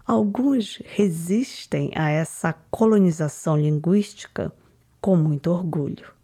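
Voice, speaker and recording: A woman narrating a documentary in a calm, measured, slightly whispery way and putting questions to her interviewees. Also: lowish voice, slightly rough. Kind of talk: reflective scripted narration.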